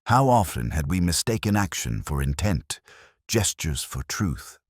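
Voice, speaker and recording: A man reading a scripted first-person detective story in a measured, solemn, literary way. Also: extremely low pitch, very animated, husky, no echo, clean studio sound. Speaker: narrator of an audio mystery story.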